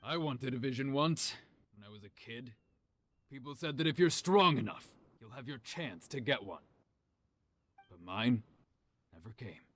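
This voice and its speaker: Earnest, disciplined young male fighter for a game: forceful, expressive, humble, with music behind. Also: mid-range voice, dry recording. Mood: reflective. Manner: earnest, measured. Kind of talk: video game character acting.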